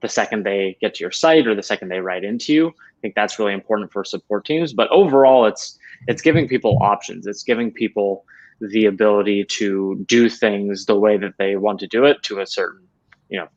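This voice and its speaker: Low-voiced man on a dull video call. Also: brisk, darkish tone. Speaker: interview guest, head of support operations. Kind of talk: conversational interview answers. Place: small home room, indoors.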